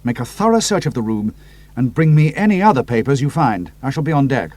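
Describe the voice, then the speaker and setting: A man playing a brisk British pilot hero. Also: medium pitch, very animated, darkish tone, no echo. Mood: commanding. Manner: crisp, decisive, clipped.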